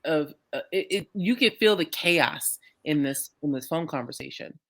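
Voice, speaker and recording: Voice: medium pitch, expressive, moderate pace, a bit bright. A co-host giving conversational reaction commentary, agreeing readily and restating points with some hesitation.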